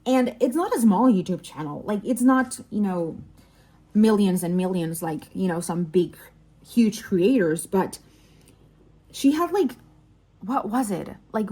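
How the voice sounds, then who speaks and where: The speaker is a woman from Mexico with a Mexican accent and Spanish as her native language. Her voice is mellow and sits at an average pitch. She tells stories casually, with animated emphasis, and often breaks off to correct or interrupt herself. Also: slow. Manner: animated, digressive, self-interrupting. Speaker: vlogger and crafter, telling work anecdotes. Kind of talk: casual chatty storytelling.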